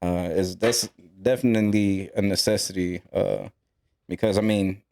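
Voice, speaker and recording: A man talking about his life as a podcast guest, earnest and reflective, with halting restarts. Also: low-pitched, steady tone, darkish tone, a little hiss or hum. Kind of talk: casual podcast conversation.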